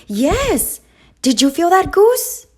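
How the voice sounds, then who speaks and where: A woman voicing an excitable, boastful male duck in a children's storybook read-aloud, exclaiming and squawking. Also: very high voice, slow pace, voice pushed up, exaggerated voice. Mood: frantic.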